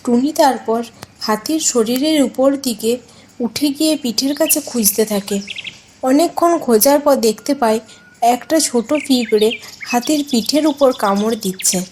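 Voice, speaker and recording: A woman's voice: a little high, normal speed, a little hiss or hum. A woman reading scripted storybook narration for a cartoon fable. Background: loud music and birdsong.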